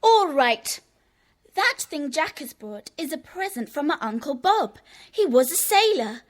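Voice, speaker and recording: A cheeky, defiant little schoolgirl in a children's audio play. Her high voice bounces around as she argues and taunts her way into the club. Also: big pitch swings, unhurried. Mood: insistent. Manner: argumentative, taunting. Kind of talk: children's audio drama acting.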